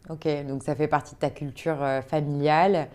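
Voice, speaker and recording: A woman's voice: deep voice, steady tone, normal speed, darkish tone. A woman hosting an interview podcast in a casual, informal and encouraging conversational way.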